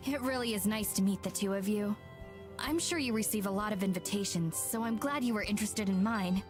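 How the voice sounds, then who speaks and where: An actress plays a reserved young woman from a visual novel, hosting visitors with composed courtesy. Her voice sits at a middle female pitch, understated, over soft music. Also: a little fast, close to an everyday voice. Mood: polite, composed. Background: game music.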